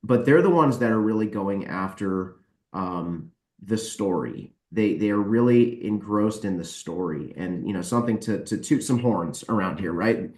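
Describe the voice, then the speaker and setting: Guy with a lowish voice on a podcast, candid opinions, kinda echoey room. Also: animated, normal speed, darkish tone. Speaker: podcast guest, book publisher and author. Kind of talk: casual opinionated panel chat. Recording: roomy, echoey sound.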